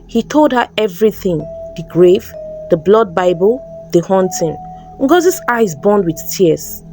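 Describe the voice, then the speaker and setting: A woman's voice: medium pitch, expressive, a little slow, darkish tone. A woman storyteller giving a scripted, dramatic narration of a folktale. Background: background music.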